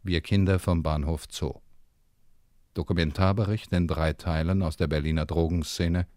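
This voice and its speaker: A male announcer with a deep, mellow voice in a dry studio sound. He reads formally from a script, as for a radio programme. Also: steady tone, normal speed, no room sound.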